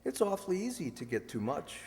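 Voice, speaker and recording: A man playing a suave, unflappable gentleman in a radio comedy, teasing in a wry, drawn-out way. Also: a little high, very animated. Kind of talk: 1940s radio comedy acting. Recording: echoey hall stage recording.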